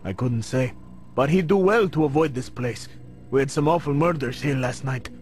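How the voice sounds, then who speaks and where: Casting: male actor for an embittered harbour foreman in a game, medium pitch, grim and wary. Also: normal speed, faint music behind, close to an everyday voice. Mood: guarded. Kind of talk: video game voice acting.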